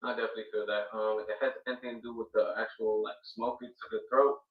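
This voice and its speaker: Gravelly dj guy on a stream, echoey room, dull muffled mic. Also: fairly low pitch, steady tone, quick pace. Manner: laid-back, swearing, hype-man plugging. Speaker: DJ and stream guest. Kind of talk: casual stream chat. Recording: distant, roomy, dull-sounding mic.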